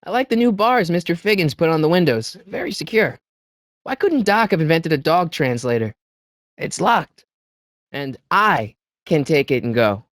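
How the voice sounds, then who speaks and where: An excitable teenage boy hero from a video game, with a swooping, animated delivery. He is earnest and trips over his words when flustered. The recording is dry and close, with no room sound. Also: a little high, normal speed, dry recording. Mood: puzzled, casual.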